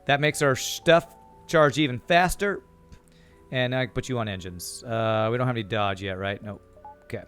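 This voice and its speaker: A young man from California with a plain American accent, chatting easily with viewers while he plays. His voice sits in an ordinary middle pitch. Faint music plays quietly behind him. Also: clear, resonant tone. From California, USA. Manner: relaxed, chatty, reads chat aloud and answers. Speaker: streamer. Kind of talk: casual gameplay commentary.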